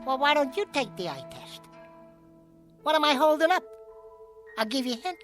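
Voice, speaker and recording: A man's voice: very high-pitched, huge pitch swings, moderate pace, a bit bright, faint music behind, full character voice. A man voicing a living ventriloquist's dummy, a cocky, menacing wisecracker, in a radio drama. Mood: mocking, menacing. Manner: taunting nightclub-comic patter.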